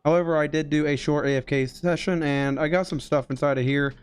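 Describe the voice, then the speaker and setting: A man's voice: on the high side, a little fast, clean tone, no echo. Young man narrating a game tutorial. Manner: explanatory, steady tutorial delivery. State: recovering from illness, voice strained. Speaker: game streamer. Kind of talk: gameplay walkthrough narration. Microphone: headset mic.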